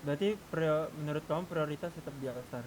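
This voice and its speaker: Man briefing over noisy voice chat. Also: fast talker. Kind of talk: casual in-game roleplay group discussion. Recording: clearly noisy game voice chat, low rumble.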